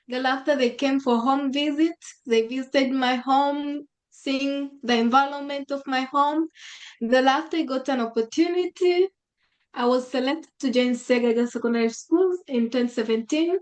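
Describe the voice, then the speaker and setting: A woman's voice: high voice, poor audio. A woman of about twenty from Africa, recounting her path step by step in short plain sentences on a video call. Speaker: school graduate. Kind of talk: webinar testimony.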